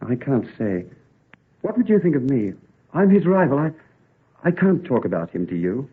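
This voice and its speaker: An actor playing a lovelorn, jealous gentleman suitor, earnest and impassioned, in an old-time radio drama. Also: medium pitch, sing-song, normal speed, natural voice. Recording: tinny, narrow old radio recording.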